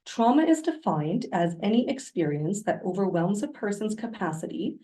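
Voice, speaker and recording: A woman doctor presents while still hoarse from laryngitis. She speaks gently and carefully. Her tone is soft and mellow. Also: medium pitch, big pitch swings, normal speed, poor audio. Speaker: physician presenter. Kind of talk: educational presentation.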